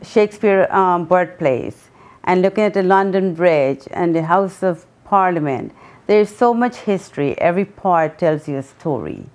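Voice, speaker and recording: A woman in her sixties from Guyana, answering interview questions earnestly, pausing often with ums. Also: lowish voice, expressive, a little mellow. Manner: earnest, with many pauses and ums. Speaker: guest, memoir author.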